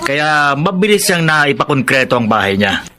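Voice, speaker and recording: A man's voice: on the high side, sing-song, normal speed, sharp tone. An actor playing a sly, gossiping male neighbour in a radio drama. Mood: sly, gossipy. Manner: gossipy, insinuating.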